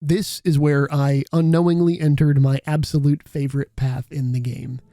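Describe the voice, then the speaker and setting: A man's voice: fairly high pitch, little pitch movement, moderate pace, warm tone, no room sound. A man reading scripted video essay narration in a wry, casual way.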